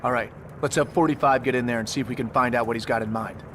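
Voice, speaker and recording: Man acting as fast-talking, decisive military commander. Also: mid-range voice, steady tone, background noise, close to an everyday voice. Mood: decisive, businesslike. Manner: clipped, authoritative. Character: brisk, decisive male Navy SEAL commander. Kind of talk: cinematic military game acting. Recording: music behind the voice.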